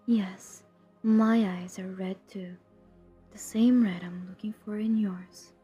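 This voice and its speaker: A woman playing a centuries-old vampire who hunts her own kind in an audio roleplay, cold, menacing and drily teasing, in a deliberate half-whisper. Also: medium pitch, dark tone, muffled recording. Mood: proud, ominous. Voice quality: breathy, husky, half-whispered. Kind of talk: intimate scripted audio roleplay.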